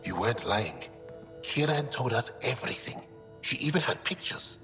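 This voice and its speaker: A jumpy male alien researcher from a sci-fi game. His voice sits at a middle pitch, and he talks in a blunt, excitable, suspicious way. Faint music plays behind him. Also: moderate pace. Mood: earnest. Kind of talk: cinematic video game acting.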